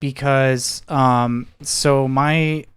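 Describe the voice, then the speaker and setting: An American man in his thirties chats as a podcast co-host. His voice is clean and resonant at a middling pitch. He talks reflectively and haltingly, often pausing to find the right word. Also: fairly even intonation, a bit bright, smooth. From United States, near Seattle. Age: 30s. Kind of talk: casual chat.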